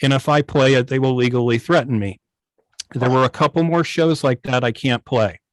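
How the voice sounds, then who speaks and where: A middle-aged man explaining things casually on a video call, often pausing to search for his words. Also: medium pitch, dry recording, low-quality recording. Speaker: guest, old-time radio station operator. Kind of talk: casual explanatory talk on a club video meeting.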